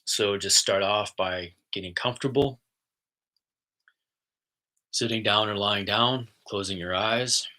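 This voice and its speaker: A man talking casually as a spiritual host, chatty and easygoing, then slow and soft when he guides a relaxation exercise. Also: lowish voice. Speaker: spiritual host and intuitive channeler.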